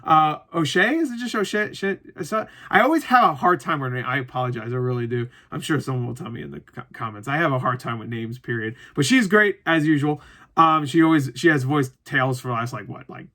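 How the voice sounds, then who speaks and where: Bright-voiced man, rambling casual movie review. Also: medium pitch, fairly quick. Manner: rambling, self-interrupting, animated asides. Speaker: movie reviewer, online video host. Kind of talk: casual unscripted review chat to camera.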